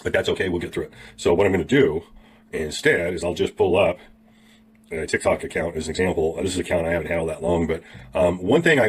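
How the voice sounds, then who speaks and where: A man with a cold, hoarse and losing his voice, giving a casual tutorial to his viewers, rambling and often clearing his throat. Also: low voice, slight background noise. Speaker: YouTube affiliate marketing teacher. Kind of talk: casual tutorial talk to camera.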